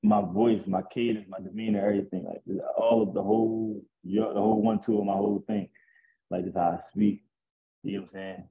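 Deep raspy young man on a call. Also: fairly even intonation, a little fast. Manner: laid-back, boastful, rambling. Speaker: rapper guest. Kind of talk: casual interview. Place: indoors at home. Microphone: video call. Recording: tinny video call audio.

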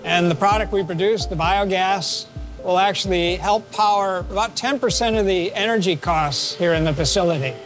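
A male scientist explains plainly in a high voice. He stands by tanks in a facility, with clear music under him. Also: moderate pace, fairly bright tone. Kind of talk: interview. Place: indoor facility beside tanks.

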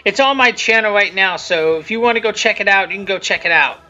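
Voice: medium pitch, fast talker. A casual commentator talking off the cuff about their own video, rambling, often repeating and rephrasing, with some mild swearing. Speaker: creator giving commentary on own fan video. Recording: dull lo-fi audio with music behind. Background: music and quiet cartoon soundtrack.

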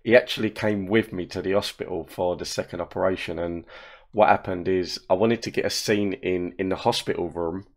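Croaky, deep-voiced man. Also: fairly even intonation, fairly quick. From England. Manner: rambling, chatty storytelling with laughs. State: hoarse, croaky voice. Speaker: animation creator and host. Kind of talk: casual commentary. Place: indoor room. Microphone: wearing headphones, webcam.

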